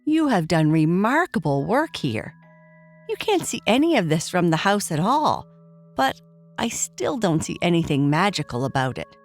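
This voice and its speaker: A woman voicing a gentle, reassuring but sceptical mother in a children's bedtime story. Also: lowish voice, big pitch swings, moderate pace, faint music behind. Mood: mildly impressed.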